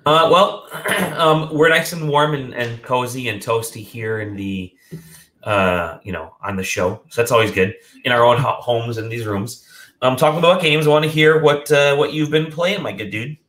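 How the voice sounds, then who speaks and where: A man hosting a casual video game podcast from home, sick and a bit nasal, joking and clearing his throat often. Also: medium pitch, moderate pace, fairly bright tone. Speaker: podcast host. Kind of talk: casual chat. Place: home room.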